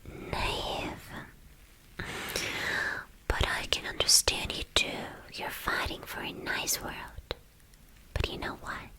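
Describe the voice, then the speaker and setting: A woman voicing a mad, cruel young villainess kidnapper in a whispered ASMR roleplay, coaxing and menacing in a breathy whisper. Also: sharp tone, rough recording. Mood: taunting, coaxing. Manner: slow, coaxing, repeating words for menace.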